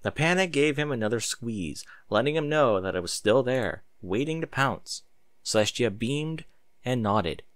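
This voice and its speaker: A man reading a story aloud as narrator, in an expressive style with candid asides. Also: medium pitch, a little slow, dry recording, studio quality. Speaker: fan fiction narrator.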